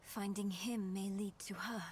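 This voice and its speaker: Woman as earnest young adventurer, medium pitch. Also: fairly even intonation, unhurried, a little mellow, a little hiss or hum, lightly acted. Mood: urgent, questioning. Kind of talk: cinematic dark fantasy game acting.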